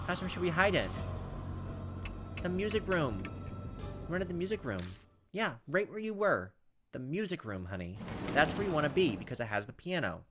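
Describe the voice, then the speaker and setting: High-voiced, lively man commentating a game. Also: moderate pace, pauses often. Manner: thinking aloud, talking to himself. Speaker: gaming video host. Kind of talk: casual playthrough commentary, reading game text aloud. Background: game music.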